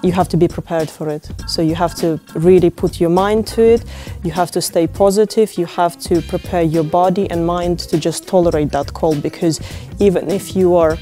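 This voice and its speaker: Low, mellow woman. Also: steady tone, faint music behind. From Ukraine. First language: Ukrainian. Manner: unhurried, with many fillers and restarts. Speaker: interviewee, open-water swimmer. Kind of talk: reflective interview talk.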